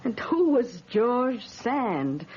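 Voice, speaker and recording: A woman's voice: on the high side, very animated, drawn-out words, full character voice. An actress playing a worried young girlfriend, earnest and emotional but ready to talk back, in a radio drama. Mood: wary, curt. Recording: dull radio sound, loud vehicle noise.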